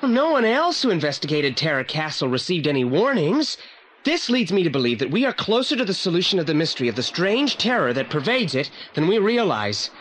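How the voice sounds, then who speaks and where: A man's voice: fairly high pitch, sing-song, brisk, fairly bright tone. A precocious boy detective in an old audio play. He speaks formally and pedantically with complete self-assurance, over a faint layer of noise. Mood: determined. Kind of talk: 1980s audio drama acting. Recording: slightly noisy old audio play transfer.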